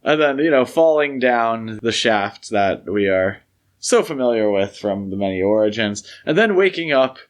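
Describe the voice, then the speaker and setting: A man speaking as a podcast co-host, discussing film in a conversational, measured, explanatory way. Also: medium pitch, expressive.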